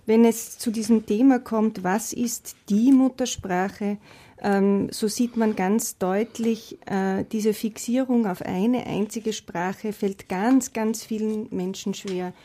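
A woman researcher of multilingualism, speaking fluently and in measured, academic terms on a panel. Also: medium pitch, warm tone. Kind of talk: panel discussion.

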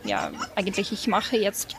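A woman's voice: medium pitch, steady tone, normal speed, creaky, noisy background. A woman in her twenties from Ukraine with a Ukrainian accent, answering interview questions hesitantly with many fillers. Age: 20s. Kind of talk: casual interview. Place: café.

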